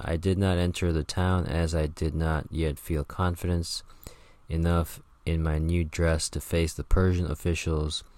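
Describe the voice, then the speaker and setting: A man's voice: sits low, a little mellow, no echo. A man reading an old travel book aloud, stumbling over words and breaking off for casual, profane asides.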